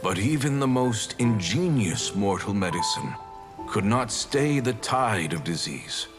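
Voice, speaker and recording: A man narrating an old legend in a video game as a grave, deliberate storyteller. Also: lowish voice, expressive, over music. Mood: solemn.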